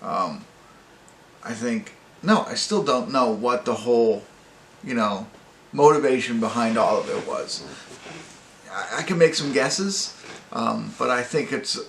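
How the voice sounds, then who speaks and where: A man hosting a casual, unscripted movie review, talking in a halting, rambling way and often correcting himself. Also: mid-range voice, animated, creaky, fairly bright tone. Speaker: movie review channel host. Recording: echoey room with faint noise.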